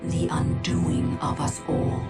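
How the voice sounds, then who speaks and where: An actress playing a proud, cryptic alien seer in a video game, with a solemn, portentous manner. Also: female voice, sits low, fairly even intonation, slow pace. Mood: ominous. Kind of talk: dramatic video game acting. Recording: music behind the voice.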